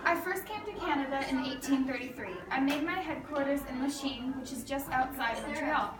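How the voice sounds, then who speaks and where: A woman reading scripted answers in the role of a historical man, in a plain, steady way. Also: sits high, normal speed, a crowd in the background, close to an everyday voice. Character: grown man, a historical Canadian figure. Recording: echoey room, far from the mic, with background noise.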